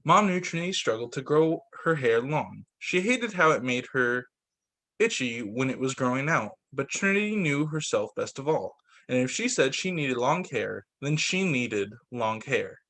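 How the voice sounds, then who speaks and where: A man reading a children's picture book aloud like a teacher at story time, expressive, with the odd stumble. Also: mid-range voice, normal speed, poor audio. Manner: expressive, voices the dialogue with slight shifts; stumbles and corrects himself while reading.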